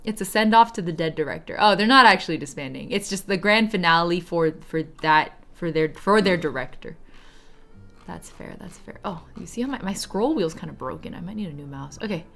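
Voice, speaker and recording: Animated woman streaming, bright voice. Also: fairly low pitch, brisk. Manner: animated, jokey, mimics imagined quotes. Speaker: livestreamer reacting to a game. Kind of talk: casual chat and game commentary.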